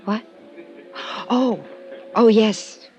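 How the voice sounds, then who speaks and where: A woman's voice: fairly high pitch, fairly even intonation, extremely slow. Troubled, polite woman of vintage radio drama. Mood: guarded, polite. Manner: soft-spoken, hesitant, politely formal. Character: sad, troubled, polite woman, guarded and grateful. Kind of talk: old-time radio drama acting.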